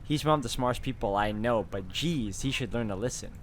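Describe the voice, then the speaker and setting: A man's voice: mid-range voice, a little fast. A man hosting a game walkthrough, reading story text aloud and commenting casually.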